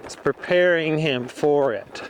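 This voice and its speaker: Male horse trainer, unhurried mid-pitched delivery, short instructional phrases while riding, faint outdoor noise behind. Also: rough recording. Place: outdoors in an open riding arena.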